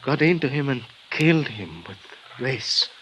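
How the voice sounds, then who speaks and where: A solemn small-town Mexican doctor in an old radio play, his voice sitting at an ordinary middle pitch. He speaks slowly and formally, stopping to hesitate over his words. A faint hiss from an old broadcast recording runs beneath him. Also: expressive, pushed and bright, rough recording. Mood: grave. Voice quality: measured, hesitant, halting. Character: middle-aged male Mexican hospital doctor, grave and formal. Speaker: actor in radio drama. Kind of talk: 1950s radio drama acting.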